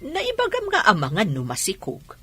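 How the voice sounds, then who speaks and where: A woman's voice: mid-range voice, huge pitch swings, normal speed, a bit bright, breathy edge, heavily acted. A woman playing a concerned, questioning close friend in an Ilocano radio drama.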